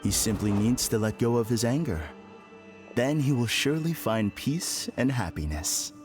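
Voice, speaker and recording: A man's voice: medium pitch, lively intonation, normal speed, music in the background, lightly acted. An actor playing a politely grandiose, deluded man in a video game, formal and theatrical in manner. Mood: serene certainty. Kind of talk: dramatic video game voice acting.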